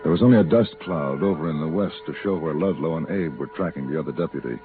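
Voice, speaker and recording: An American actor playing a stoic gunfighter for hire in a 1950s western radio drama, speaking in a measured, terse, grave way. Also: male voice, very deep voice, faint music behind. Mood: calm, measured. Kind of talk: 1950s western radio drama acting, also first-person narration. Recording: muffled, hissy old radio recording.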